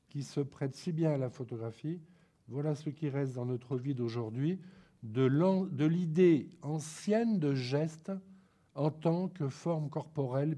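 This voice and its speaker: Man, husky, stuffy with a cold, halting art lecture, lots of ums. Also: a little high, dark tone. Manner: hesitant, many ums and restarts. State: congested with a head cold. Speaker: lecturer on photography.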